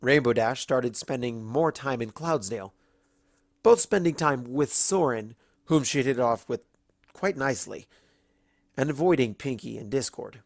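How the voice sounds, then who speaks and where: A narrator reading a fan-fiction story aloud in an expressive storybook style. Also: medium pitch, moderate pace, dry recording, clean studio sound.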